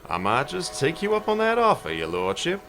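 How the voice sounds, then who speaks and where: Man playing a bold, bright-voiced adventurer. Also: on the high side, wildly swooping pitch, a little fast, faint music behind, heavily acted. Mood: easygoing, bold. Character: bold, folksy male adventurer, eager hunting man. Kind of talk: audio drama acting. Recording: audible background noise.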